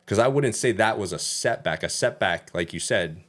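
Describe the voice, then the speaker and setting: A man's voice: on the low side, animated, normal speed. A young man whose first language is Danish, co-hosting a casual podcast chat and talking loosely with lots of fillers. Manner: loose and talkative, lots of "you know" fillers. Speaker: podcast co-host.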